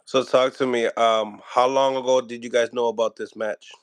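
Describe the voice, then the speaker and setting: A man interviewing a boxing trainer asks his questions in a smooth, mid-pitched voice. The sound is dry, and he phrases things loosely, with many fillers. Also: little pitch movement, normal speed, no room sound. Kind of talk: casual interview.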